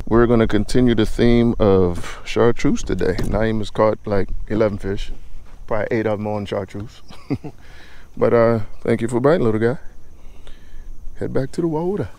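A man's voice: on the low side, darkish tone. A young man in a kayak on a lake, casually explaining his bait and fishing choices, recorded outdoors on a body-worn action camera. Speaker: fishing vlogger.

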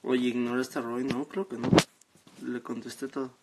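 A seventeen-year-old young man with a Mexican accent, chatting casually and haltingly as he reads out and answers comments on a live stream from his phone. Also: mid-range voice, level tone, heavy background noise. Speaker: social media live streamer. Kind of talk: casual chat, reading out and answering viewer comments. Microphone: phone at arm's length.

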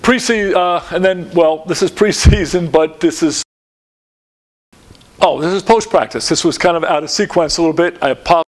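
A man's voice: fairly high pitch, moderate pace. An older man in his sixties giving a coaching clinic talk in a lecture room, rambling with many fillers and restarts. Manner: rambling, lots of fillers and restarts, gestures at slides. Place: dim lecture room in front of a projected slide.

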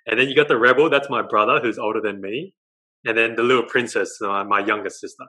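A man's voice: medium pitch, fast, poor audio. A young man with an Australian accent, a guest on a video call interview, telling his story with lots of gestures and a habit of adding "right?". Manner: animated storytelling, gestures a lot, tags lines with "right?". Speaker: guest, dating coach. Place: living room at home.

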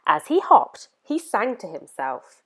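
A woman narrating a children's story in an expressive, animated storytelling manner. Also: medium pitch, unhurried, faint music behind. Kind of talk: scripted read-aloud narration for children.

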